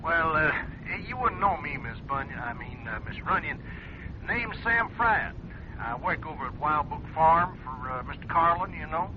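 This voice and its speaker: An actor playing a sly, folksy farmhand in a radio mystery, speaking in a hesitant, insinuating, rambling way. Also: male voice, on the high side, animated, normal speed, natural voice. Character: sly, folksy male farmhand hinting at blackmail. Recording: dull, noisy old radio recording.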